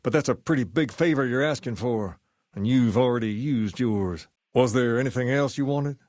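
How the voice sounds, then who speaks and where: An actor playing a suave, self-assured gang boss in a video game, with an easygoing Southern US accent. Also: male voice, medium pitch, normal speed, darkish tone, dry recording, studio quality, natural voice. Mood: cool, self-assured. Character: suave male gang boss styled on a rock-and-roll king. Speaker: leader of a post-apocalyptic gang. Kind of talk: video game character dialogue.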